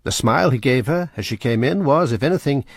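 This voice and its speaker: A man narrating a radio drama in a measured, even way. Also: medium pitch, no room sound, clean studio sound.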